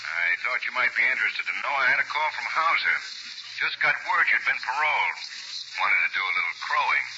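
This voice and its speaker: An actor playing a brisk, businesslike district attorney on the phone in a 1940s radio drama. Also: male voice, medium pitch, animated, bright, forceful tone, a little hiss or hum. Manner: clipped, businesslike. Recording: muffled old radio broadcast.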